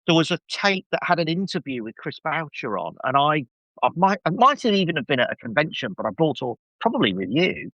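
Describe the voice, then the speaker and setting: A middle-aged man guesting on a podcast, chatting casually in an enthusiastic, halting, emphatic way. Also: a little high, sing-song, fairly bright tone, dry recording, lo-fi sound. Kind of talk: casual chat.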